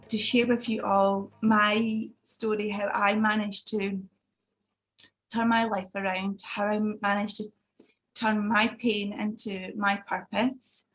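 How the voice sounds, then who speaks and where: A woman's voice: medium pitch, fairly bright tone, poor audio. A woman in her thirties, originally from Italy, sharing her personal story on a video call with many hesitations and restarts. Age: 30s. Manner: hesitant, frequent fillers and restarts. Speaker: summit speaker. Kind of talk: personal testimony talk.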